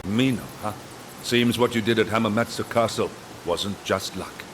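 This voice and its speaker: Game role: male actor as shrewd samurai field officer, loyal and businesslike, fairly deep, clear score underneath. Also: normal speed, natural voice. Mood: businesslike, urgent. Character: shrewd, dutiful samurai retainer and battle tactician. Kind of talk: video game cutscene acting. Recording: music under the voice.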